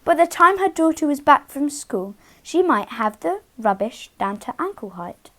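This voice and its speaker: A woman hosting storytime, speaking to young children in an expressive read-aloud style with dramatic emphasis on key words. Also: sits high, normal speed. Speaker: children's storytime host and reader.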